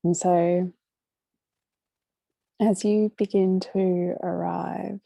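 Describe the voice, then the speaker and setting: A woman guides a meditation in a very soft, dark-toned, relaxed voice with a gravelly edge of fry. She speaks gently and very slowly, leaving long pauses between short phrases. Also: fairly low pitch, no echo. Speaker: meditation guide hosting a live session.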